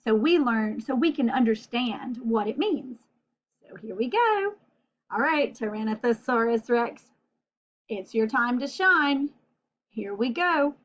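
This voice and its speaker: Slow-talking woman teaching young children, dull recording. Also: a little high. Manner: sing-song and expressive, with drawn-out key words and questions to the listener. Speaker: children's teacher and storybook reader. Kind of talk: read-aloud vocabulary lesson for young children.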